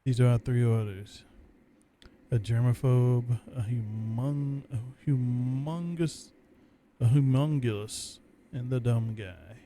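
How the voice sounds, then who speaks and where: A man's voice: medium pitch, slow, dark tone, slight background noise. A man giving casual, laid-back gameplay commentary, musing to himself as he plays. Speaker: let's play gamer commentating.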